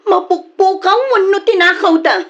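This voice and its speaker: Worried Ilocano wife, high-pitched, insistent, questioning, pressing, radio drama acting. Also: female voice, fairly even intonation, moderate pace, fairly bright tone, highs cut off. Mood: alarmed. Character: worried, insistent wife who confronts her evasive friend.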